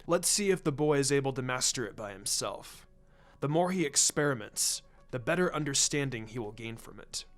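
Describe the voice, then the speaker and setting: A grave old man who leads a village speaks with measured authority, as a male story reader plays him. The voice is dropped low and each phrase is deliberate, in storybook character style. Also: expressive, natural voice.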